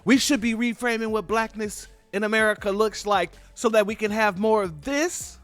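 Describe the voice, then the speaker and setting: A high-voiced man hosts his own commentary, pressing his points hard and repeating them in an informal, emphatic way. The sound is dry and close, with faint music underneath. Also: moderate pace, fairly bright tone, dry recording. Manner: emphatic, repetitive, informal, addresses viewers as family. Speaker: commentary channel host. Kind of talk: political commentary on clips.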